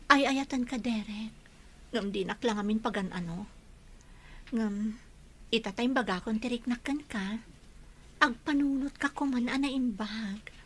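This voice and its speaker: A woman's voice: fairly high pitch, unhurried, pauses often, a bit bright, husky. A woman with a Filipino accent playing a headstrong, lovestruck young village woman in a radio drama. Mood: lovesick, pleading. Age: young adult.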